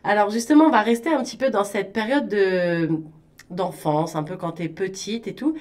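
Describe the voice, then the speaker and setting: A woman's voice: medium pitch, normal speed, a little mellow. A woman interviewing a guest on radio in a casual, chatty and informal way.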